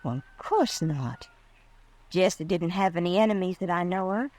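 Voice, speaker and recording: A woman's voice: on the low side, lively intonation, normal speed, a little mellow, lightly acted. A woman with an American accent playing a guarded, polite young ranch widow in an old-time radio western. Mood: subdued, guarded. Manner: polite, restrained.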